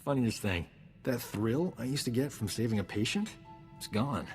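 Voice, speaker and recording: An actor playing a cocky, flirtatious doctor in a video game, breezy, joking and pleased with himself. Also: male voice, mid-range voice, animated, normal speed, soft background music, natural voice. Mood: breezy, boastful. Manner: breezy, self-satisfied, joking. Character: cocky, flirtatious male doctor, wisecracking space adventurer. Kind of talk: scripted video game acting.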